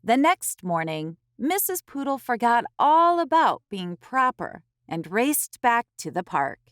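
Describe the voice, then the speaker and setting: A woman host reading a picture book aloud to young children, expressively, with careful phrasing and dramatic pauses. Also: on the high side, big pitch swings, a bit bright, no room sound, studio quality.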